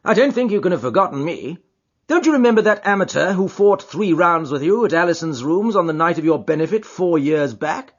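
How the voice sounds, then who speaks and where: A man voicing a genial, confident gentleman detective in an audiobook. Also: high-pitched, brisk, hardly any pauses, pitched above the performer's own voice. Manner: genial and assured. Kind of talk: audiobook character voice. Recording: dull lo-fi audiobook recording.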